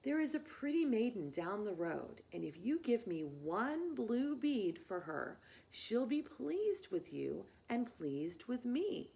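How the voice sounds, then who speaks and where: A woman's voice: on the high side, big pitch swings, slow pace, gravelly, few pauses, dark tone, voice pushed up, exaggerated voice. A woman voicing a pleading, wheedling little male fox from a storybook in an imploring sing-song.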